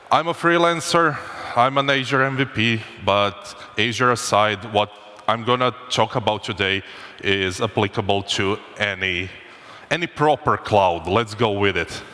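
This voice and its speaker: A man's voice: lowish voice, pushed and bright. A man from Croatia giving a joking, informal conference talk with restarts. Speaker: conference speaker. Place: conference hall. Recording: long hall reverb.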